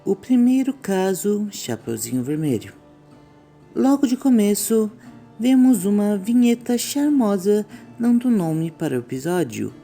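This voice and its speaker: A woman's voice: mid-range voice, sing-song, measured pace, vocal fry, dark tone, faint music behind. A Brazilian woman hosting a scripted online commentary on horror animation, with a theatrical storytelling manner. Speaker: YouTube host.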